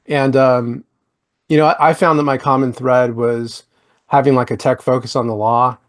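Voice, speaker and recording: A man hosting a podcast interview with a voice of middling pitch. He talks in a friendly, chatty way and leans on fillers like "like" and "you know". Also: little pitch movement, moderate pace. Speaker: podcast host. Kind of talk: casual interview chat.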